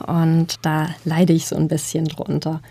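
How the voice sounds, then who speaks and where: A woman's voice: deep voice, monotone, unhurried, dark tone, breathy edge, no echo. A woman in her thirties, a social psychologist, speaking openly about her own habits as a radio guest. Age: 30s. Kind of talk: casual discussion.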